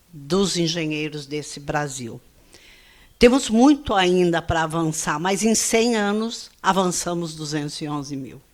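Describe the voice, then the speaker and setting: A woman, giving a measured panel presentation as an engineer. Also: on the low side. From Rio Grande do Sul, Brazil. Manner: measured, slide-by-slide presenting with frequent "né?". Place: event hall, seated at a panel table.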